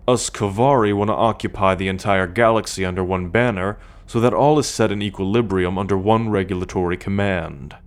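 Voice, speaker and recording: A man playing a cocky, teasing male alien scout warrior in an ASMR roleplay, talking straight to the listener with a drawl. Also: lightly acted. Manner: teasing, slow and drawling, with low chuckles.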